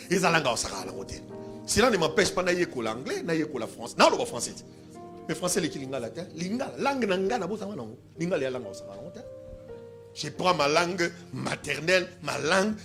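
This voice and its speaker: Animated Congolese preacher, high lively voice. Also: male voice. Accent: Congolese French. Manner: emphatic, animated preaching in short phrases. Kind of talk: sermon with live interpreter. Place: church in Kinshasa.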